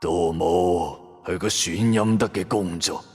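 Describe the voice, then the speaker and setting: A man playing a solemn, regretful old grandfather in a radio drama, grave and halting, with a Hong Kong Cantonese accent. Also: deep voice, fairly even intonation, darkish tone, slightly rough. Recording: long hall reverb over music. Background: music.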